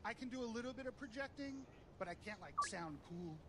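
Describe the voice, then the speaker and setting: A man in his thirties, a comedy show guest with a thin, weak voice, answering in a halting, self-deprecating way. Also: high voice, expressive, very noisy. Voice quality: thin, weak voice from years of screaming.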